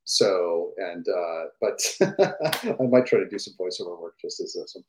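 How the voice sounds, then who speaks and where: A middle-aged man with a fairly deep voice, chatting enthusiastically as a podcast guest through a gaming headset, with fillers and restarts. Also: lively intonation, a little slow, darkish tone, slight background noise, poor audio. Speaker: guest, game industry executive. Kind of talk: casual podcast chat.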